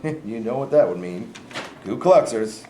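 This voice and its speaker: A man's voice: lowish voice, expressive, a little slow, dark tone. A man in a staged reading playing a stern, folksy, domineering former governor and father from the South. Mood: folksy, uneasy. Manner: folksy, scolding. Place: live staged reading in a room. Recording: echoey room with faint noise.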